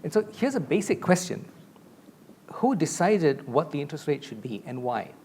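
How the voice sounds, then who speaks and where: A man's voice: a little high, sing-song, moderate pace, darkish tone, slight background noise. A middle-aged man from Malaysia speaking as a panelist on a conference stage, explaining points at length through a lapel mic. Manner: expansive, explanatory, gestures a lot while talking. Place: large stage with a curtain backdrop.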